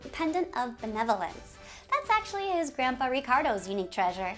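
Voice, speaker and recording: High-voiced woman hosting an upbeat video. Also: normal speed, soft background music. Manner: animated, giggly, addresses viewers directly. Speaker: host of a game community video series. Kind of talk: upbeat scripted video presenting with ad-libbed asides.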